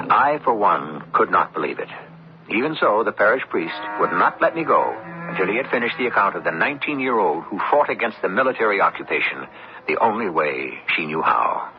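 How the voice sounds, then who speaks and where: A man narrating a scripted radio drama as storyteller host, deliberate and dramatic. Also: low voice. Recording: dull lo-fi radio recording with music.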